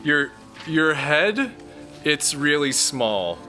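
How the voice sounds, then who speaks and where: A man's voice: on the high side, very animated, extremely slow, music in the background, heavily acted. A man playing a hesitant, stammering young man in a comedic audio drama. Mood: sheepish.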